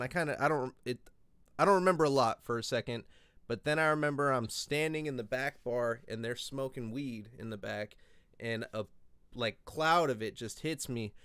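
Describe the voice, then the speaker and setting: A young man in his late twenties spins a wild party story, swearing freely and getting animated. His voice is smooth and resonant, and the sound is dry and close with no room around it. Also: mid-range voice, dry recording. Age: 20s. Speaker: podcast host. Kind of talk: casual storytelling.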